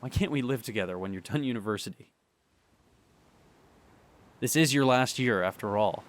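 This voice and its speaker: A young man performing a radio drama monologue, halting and sighing as he pleads with an absent partner. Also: medium pitch. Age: young adult. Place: beside the sea.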